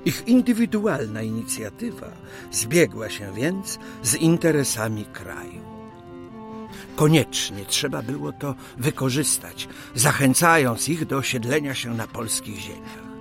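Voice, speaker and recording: An actor playing a 19th-century Polish official, speaking in formal, old-fashioned phrases with deliberate pauses, earnest and dignified in an audio drama. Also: male voice, medium pitch, lively intonation, vocal fry, music in the background. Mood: nostalgic, reflective. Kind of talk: audio drama acting and diary narration.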